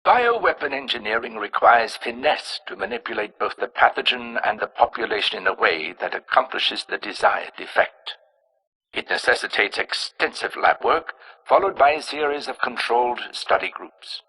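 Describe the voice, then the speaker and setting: An actor playing a cold, arrogant scientist in a video game, narrating with clinical precision in a raspy, hard-edged voice. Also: male voice, medium pitch, expressive, normal speed, pushed and bright, low-quality recording. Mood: clinical, detached. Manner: clinical, precise, self-important. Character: cold, arrogant male scientist engineering plagues and alien pathogens.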